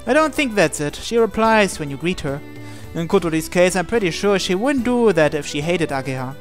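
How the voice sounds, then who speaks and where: Expressive visual novel narrator over soft music. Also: mid-range voice, fairly quick. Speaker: let's play host. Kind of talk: reading visual novel text aloud, let's play narration. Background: game music.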